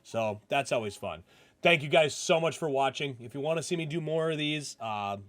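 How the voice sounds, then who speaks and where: A man hosting a gaming channel, chatting casually as he plays and reacts out loud to a story game. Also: a little high, lively intonation, normal speed. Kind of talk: casual let's-play chat with narrated visual novel reading. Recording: music playing behind the voice. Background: music.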